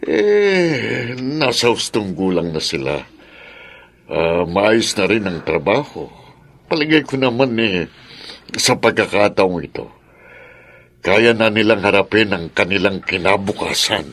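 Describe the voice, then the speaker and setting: An actor playing a calm, reasonable older father in a radio drama. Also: lowish voice, unhurried, exaggerated voice. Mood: reassuring. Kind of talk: Filipino radio drama acting.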